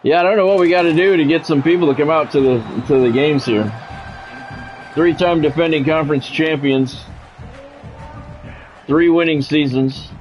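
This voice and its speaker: A man doing measured, broadcast-style football play-by-play over a video game, in clipped phrases with casual gamer asides. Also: on the high side, rough recording.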